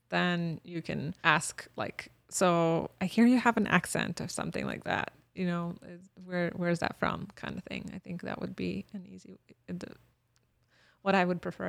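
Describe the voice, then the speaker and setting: A woman's voice: fairly low pitch, gravelly, dry recording. A woman in her thirties from Bosnia, a guest chatting casually in an interview, laughing often and restarting her sentences. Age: 30s.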